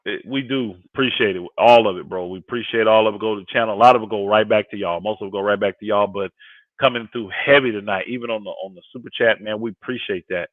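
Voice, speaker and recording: A man's voice: fairly low pitch, fairly even intonation, fast talker, no room sound. Phone-like voice of a fifty-year-old man. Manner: conversational, emphatic, repeats phrases for emphasis. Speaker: guest co-host and sports commentator on a live panel. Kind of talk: casual fan sports talk on a livestream. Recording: thin phone-like audio.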